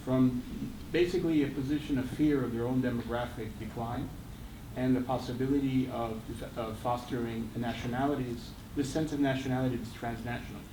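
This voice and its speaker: A man in the audience asking a long, articulate question in a measured way. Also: mid-range voice, fairly even intonation. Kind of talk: question from the floor. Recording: echoey room, distant mic, audible noise.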